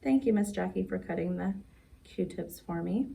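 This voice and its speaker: A woman's voice: lowish voice, moderate pace, vocal fry, warm tone. A woman hosting story time and crafts for young children, talking in a simple, sing-song way. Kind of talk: picture-book read-aloud and craft demonstration for young children. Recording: noisy, distant microphone.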